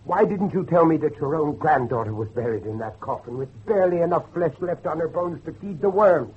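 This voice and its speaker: An actor playing an anguished middle-aged father and judge, intense and halting, in a horror audio drama. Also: fairly high pitch, expressive, breathy edge. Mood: demanding, bitter. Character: anguished older father, a judge hunting his lost son. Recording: muffled lo-fi recording with faint noise.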